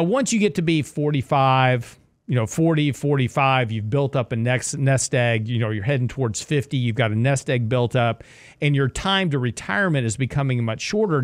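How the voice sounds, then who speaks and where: A man's voice: mid-range voice, no echo. A man in his sixties hosting a casual financial advice talk, chatty and jokey, repeating himself as he explains. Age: 60s. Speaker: show host, investment strategist.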